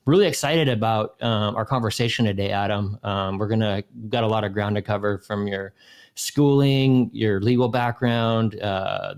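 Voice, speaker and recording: Man, 30s, podcast host, fairly low voice, friendly chatty manner, video call. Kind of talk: casual interview.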